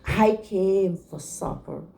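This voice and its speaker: A woman voicing a mild, contented male lion from a storybook, musing slowly. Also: on the low side, vocal fry, dark tone, exaggerated voice. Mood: friendly.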